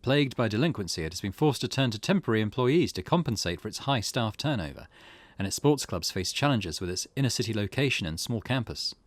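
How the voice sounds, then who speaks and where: Low-voiced man with lively pitch. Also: quick pace, hardly any pauses, dry recording. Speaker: gaming video host. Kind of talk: let's play narration, reading game text aloud.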